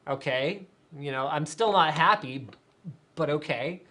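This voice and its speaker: A man's voice: a little high, unhurried. A man hosting a live tech show, chatting casually and answering viewer questions with lots of fillers. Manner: energetic, chatty, many fillers.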